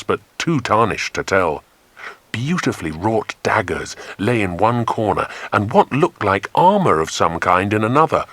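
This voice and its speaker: Male audiobook narrator, lowish voice, lively range, dramatic children's storytelling. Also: dry recording.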